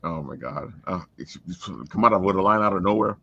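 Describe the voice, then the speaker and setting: A man with a deep voice chatting casually as a panelist. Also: brisk, pushed and bright, no echo, dull audio. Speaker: voice-over performer on a discussion panel. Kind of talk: casual chat.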